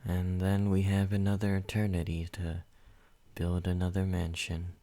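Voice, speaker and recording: Man as a calm, possessive vampire for an ASMR roleplay: low, flat, unhurried delivery. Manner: slow, low and measured, addressing the listener.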